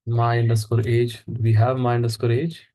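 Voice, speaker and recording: A man's voice: medium pitch, level tone, normal speed, dark tone. A man teaching a live coding lesson who walks through each step and asks the class questions as he goes. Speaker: instructor.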